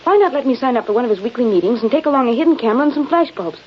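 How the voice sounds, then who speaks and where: High-pitched brash girl photographer, wisecracking American slang, old-time radio drama, muffled recording. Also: lively intonation, racing, husky. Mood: eager. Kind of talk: 1930s-40s radio acting.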